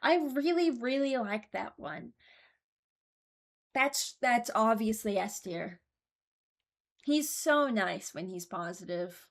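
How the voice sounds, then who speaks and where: A woman's voice: on the high side, expressive, slow, long pauses. Rambling young woman, casual and unscripted. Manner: rambling, hesitant, thinking aloud. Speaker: video host ranking game voice lines.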